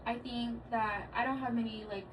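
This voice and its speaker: Relaxed thirty-year-old woman, noisy room. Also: fairly high pitch, normal speed. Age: 30s. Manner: relaxed, thoughtful, speaks with many fillers. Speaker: guest, daughter. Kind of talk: casual family chat. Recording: distant mic with clear background noise.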